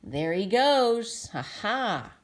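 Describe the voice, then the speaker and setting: Animated, slow-paced woman reading to children. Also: medium pitch. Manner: expressive, explaining with simple questions and interjections. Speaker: storybook reader. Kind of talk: children's read-aloud with asides to the listener.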